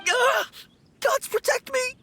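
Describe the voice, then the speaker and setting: A man's voice: very high voice, steady tone, takes it slowly, gravelly, very noisy, full character voice. A man playing a timid, polite young novice undertaker in a video game, nervous and deferential. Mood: terrified. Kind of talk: video game character acting. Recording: music behind the voice. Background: game music.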